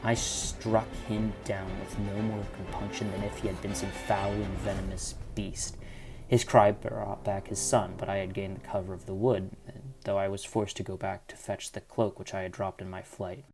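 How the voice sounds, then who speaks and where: A low-voiced old landowner, played by a man, makes a grave confession in an audio drama. He is bitter and desperate, speaking in formal, old-fashioned phrases over music. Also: little pitch movement, normal speed, darkish tone, lightly acted. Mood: grim, desperate. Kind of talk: amateur audio drama acting of a Victorian detective story.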